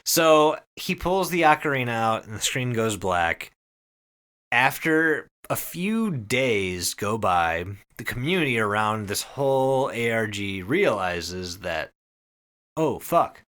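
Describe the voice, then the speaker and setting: A man hosting a podcast, telling a story casually to friends in an excited, conversational way with some swearing. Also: mid-range voice, slow pace. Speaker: podcast host.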